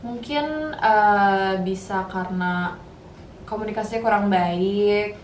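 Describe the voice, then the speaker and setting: A woman's voice: medium pitch, takes it slowly. An eighteen-year-old female student, giving her views in a casual discussion. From Indonesia. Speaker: university student. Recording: echoey room, distant mic, faint noise.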